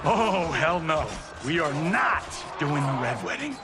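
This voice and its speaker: An actor voicing a cocky, joking Hollywood action star with slangy, flippant delivery in a video game cutscene. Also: male voice, a little high, big pitch swings, unhurried. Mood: exasperated. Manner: slangy, wisecracking. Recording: loud music behind the voice.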